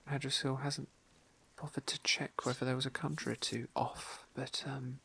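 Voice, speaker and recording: A man hosts an ASMR roleplay playthrough of a fantasy game. His voice is very breathy and whispery, with creaky fry and a bright, sharp edge. He talks softly and hesitantly, in polite, wordy asides, close to the microphone. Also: mid-range voice, level tone, normal speed. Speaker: host narrating a game playthrough.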